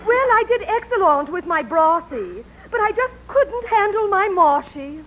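An actress playing an affected, languid and weary society lady with a drawn-out delivery, in 1940s radio comedy style. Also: extremely high pitch, expressive, a crowd in the background. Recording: old radio recording, muffled, noisy.

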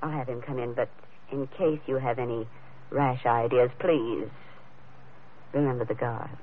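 An actress playing a haughty, scheming high priestess with a cool, formal, imperious manner in an old radio drama. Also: female voice, steady tone, unhurried. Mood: imperious, taunting. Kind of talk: 1940s radio drama acting. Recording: narrow vintage radio recording, faint music.

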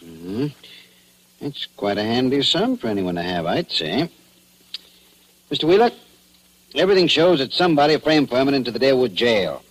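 An actor playing a wry, dogged small-town police chief in a 1940s radio drama, folksy and full of probing questions. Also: male voice, medium pitch, sing-song, normal speed, long pauses, poor audio. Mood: wry, pressing. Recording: hissy old radio recording.

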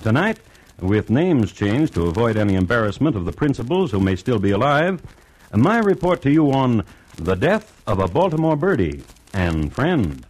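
An actor playing an urbane, wry crime connoisseur, narrating a 1950s radio series in a droll, deliberate way. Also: male voice, medium pitch, big pitch swings, darkish tone, no echo, poor audio. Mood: wry, ominous. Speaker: host and narrator.

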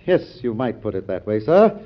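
An actor with an American accent playing a courteous, formal senator with measured delivery in 1940s radio drama style. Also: male voice, fairly low pitch, big pitch swings. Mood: respectful, conceding. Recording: dull, muffled old radio broadcast.

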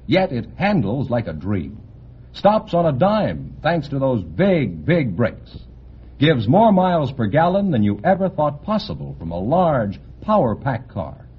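Male vintage radio pitchman, American, very animated delivery, slightly rough voice, dull old broadcast sound. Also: a little slow. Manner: polished, salesmanlike. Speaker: commercial announcer. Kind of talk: 1950s radio advertisement read. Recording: muffled old radio recording.

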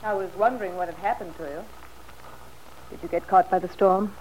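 An actress playing a bitter, anxious young wife in a vintage radio soap, with a brittle, ironic, weary manner. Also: female voice, lowish voice, fairly even intonation, brisk, close to an everyday voice. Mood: cool, subdued. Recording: faintly noisy old radio recording.

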